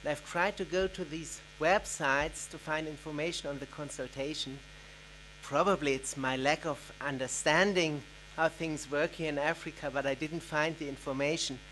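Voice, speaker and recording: Measured man asking a formal question. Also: on the high side, steady tone, sharp tone, slight background noise, rough recording. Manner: measured, deliberate, with occasional uhs. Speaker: NGO representative, audience member. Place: conference hall.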